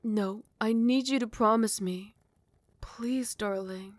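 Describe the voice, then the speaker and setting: A woman playing a possessive yet tender royal vampire in love, speaking in formal, old-fashioned phrasing with breathy half-whispers in an intimate ASMR roleplay. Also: a little high, takes it slowly, dry recording. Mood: tender, pleading.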